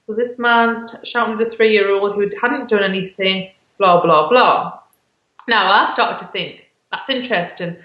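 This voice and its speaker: A woman's voice: mid-range voice, normal speed. A woman co-hosting a casual self-help chat, talking in a reflective, rambling way and often breaking off mid-phrase. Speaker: co-host. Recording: echoey, dull online call audio.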